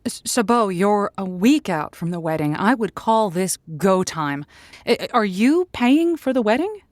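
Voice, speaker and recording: A woman's voice: mid-range voice, very animated, unhurried, dry recording. A woman playing a chatty, affectionate advice show host who talks in a flirty, familiar way and uses pet names. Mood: sympathetic, advising. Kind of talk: improvised comedic call-in chat.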